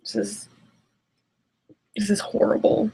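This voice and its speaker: A woman's voice: mid-range voice, monotone, slow, creaky, warm tone, slightly rough, in a whisper, poor audio. A woman giving casual, exasperated reaction commentary, sounding dismayed and given to sighing.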